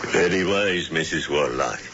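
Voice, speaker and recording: An unctuous coven doctor. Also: male voice, rumbling low, unhurried, bright, forceful tone, heavily acted. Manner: smooth, ceremonious, unctuous. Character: suave male doctor leading a witches' coven. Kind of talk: 1970s radio drama acting. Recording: dull lo-fi old radio broadcast.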